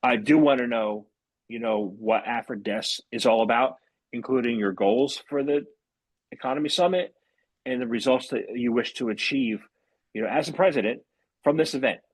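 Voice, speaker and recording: A man's voice: mid-range voice, moderate pace, breathy edge, no echo, poor audio. A man hosting an interview who asks friendly, casual questions and often restarts his words. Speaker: podcast host.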